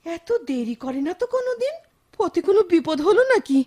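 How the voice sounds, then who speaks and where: A woman's voice: very high voice, sing-song, brisk, darkish tone. An actress playing a doting, fretful old grandmother with a rural Bangladeshi accent in a radio drama, exclaiming and scolding dramatically. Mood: anxious. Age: older. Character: doting, fretful old village grandmother, a widowed mother-in-law. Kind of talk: Bengali radio drama acting.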